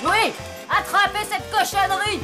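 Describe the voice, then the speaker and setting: An actress voicing a haughty, quick-tempered woman in a French-dubbed animated cartoon, theatrical and exclamatory. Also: very high-pitched. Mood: indignant. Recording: loud music behind the voice.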